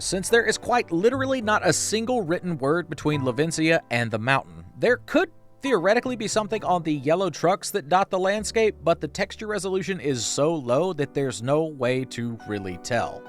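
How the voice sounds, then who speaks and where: A man delivering comedic scripted narration for an online video, with wry, playful and self-deprecating asides. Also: on the high side. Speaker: YouTube video essay narrator.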